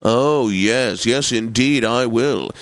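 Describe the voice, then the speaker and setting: An actor playing a pompous, genteel classical radio DJ in a game, announcing with theatrical, drawn-out phrasing. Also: male voice, medium pitch, no echo. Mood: obliging, grandiose.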